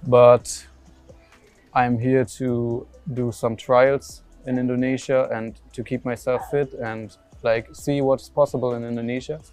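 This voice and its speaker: A young man from Germany, a footballer, answering questions in a flat, even tone. He pauses often and fills gaps with ums and likes. Faint music plays behind him. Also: medium pitch. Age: young adult. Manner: hesitant, lots of fillers and restarts. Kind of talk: casual interview answers.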